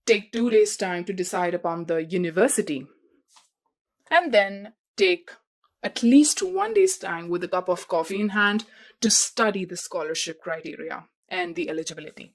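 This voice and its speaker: A woman's voice: on the low side, lively intonation, moderate pace. A young woman hosting a podcast who explains things step by step in a repetitive way and talks straight to her listeners. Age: young adult. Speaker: podcast host. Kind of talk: advice talk.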